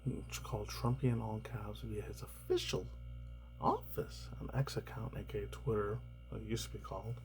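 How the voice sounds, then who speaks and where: A man rambling through casual news commentary, swearing often and laughing between remarks, his voice slightly raspy and tired. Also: lowish voice, steady tone, moderate pace, a little mellow, soft background music. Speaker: commentary YouTuber.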